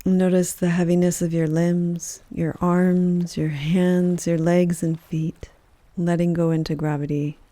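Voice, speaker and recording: Slow, mellow woman guiding meditation. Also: fairly low pitch, no room sound. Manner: calm, gentle, measured, with soft pauses between phrases. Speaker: yoga nidra teacher, meditation guide. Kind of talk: guided meditation, soothing scripted narration.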